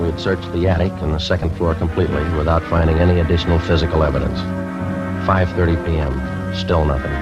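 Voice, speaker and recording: A man plays an unflappable police sergeant in a 1950s radio crime drama, with a very deep voice. He is deadpan and clipped, stating everything in a businesslike way. The old recording is dull, with loud music in it. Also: lightly acted. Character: terse, unflappable police sergeant narrating his case.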